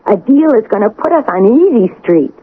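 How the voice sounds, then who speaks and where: A woman's voice: a little high, sing-song, moderate pace, narrow, tinny audio. Scheming woman crook, thin broadcast. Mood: scheming, coaxing. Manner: controlling, conspiratorial. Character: scheming, cool-headed woman crook running the plan. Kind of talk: 1980 radio drama acting. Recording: band-limited old radio broadcast, some noise.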